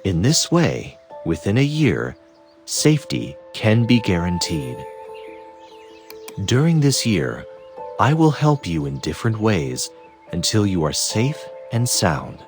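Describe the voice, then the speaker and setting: A man playing a calm, wise master and protector in a fantasy game, speaking in a measured, formal, instructive way. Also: deep voice, faint music behind, exaggerated voice. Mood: solemn, instructive. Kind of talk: dubbed fantasy game cutscene acting.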